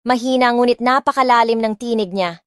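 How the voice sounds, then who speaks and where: Expressive woman reading a love story. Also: fairly high pitch, a little fast, smooth, dry recording. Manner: expressive, mixes English into Tagalog. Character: spoiled rich young woman, bratty and defiant. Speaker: audiobook narrator. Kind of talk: dramatic first-person story reading. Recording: dry close mic with soft music.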